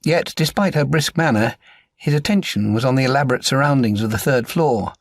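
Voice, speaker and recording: A man narrates a crime novel in a measured, articulate style. His voice sits at an average male pitch. The sound is very dry, as in audiobook recording. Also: dry recording.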